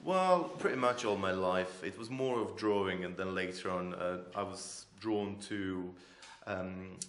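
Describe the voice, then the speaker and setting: Low-voiced Croatian man, echoey room. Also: measured pace, fairly bright tone. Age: 40s. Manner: hesitant, searching for words. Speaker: artist being interviewed. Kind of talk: unscripted interview answers. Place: indoors in an art gallery room.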